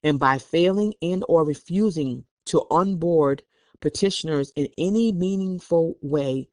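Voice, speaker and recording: A man's voice: on the high side, unhurried, no room sound, clean studio sound. A man hosting a podcast, reading documents aloud and giving opinionated, conversational commentary. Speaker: podcast host.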